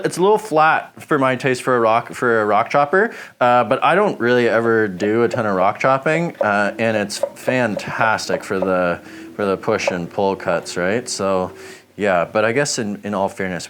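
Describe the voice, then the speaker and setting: A man giving a relaxed, chatty review, rambling with lots of fillers as he chops. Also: fairly low pitch, moderate pace, slight background noise. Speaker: knife shop reviewer. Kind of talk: casual product review while chopping.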